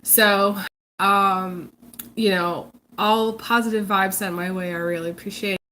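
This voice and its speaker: A woman's voice: medium pitch, steady tone, a little slow, bright, forceful tone, slight background noise, poor audio. A congested woman hosting a casual live stream as a psychic reader, talking hesitantly with many ums and restarts. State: congested, recovering from illness. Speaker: psychic reader, channel host.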